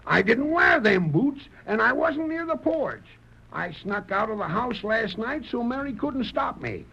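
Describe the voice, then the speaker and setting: An actor playing a flustered, wrongly accused frontier husband with an American accent, stammering and pleading in folksy radio western style. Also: male voice, very high voice, sing-song, unhurried, creaky, sharp tone, husky, full character voice. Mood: defensive. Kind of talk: 1940s radio western acting. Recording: muffled old radio recording.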